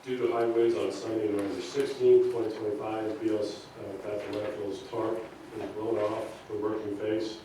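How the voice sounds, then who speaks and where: A man with a lowish, flat voice reads a report haltingly at a public meeting. He is picked up from far off in a very echoey room with clear background noise. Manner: halting, monotone reading. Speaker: meeting participant presenting inspection reports. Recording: echoey meeting room, distant mic, noisy.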